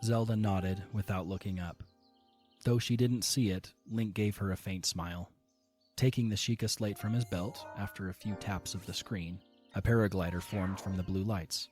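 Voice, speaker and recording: A man narrating a fan audio drama in a calm, measured reading. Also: sits low, soft background music. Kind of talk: scripted storybook narration.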